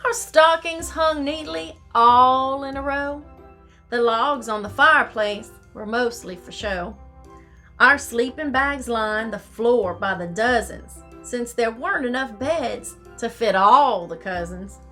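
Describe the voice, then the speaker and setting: A woman telling stories to children in an expressive, theatrical, deliberate way. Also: a little high. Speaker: storyteller reading to children. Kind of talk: expressive storybook reading in rhyme. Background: music.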